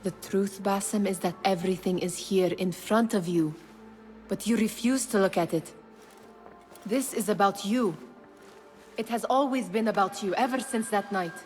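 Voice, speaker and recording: A woman's voice: medium pitch, moderate pace, darkish tone, lightly acted. Woman playing an insistent young friend, music behind. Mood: solemn, insistent. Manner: earnest, probing, intense. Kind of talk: video game cutscene acting.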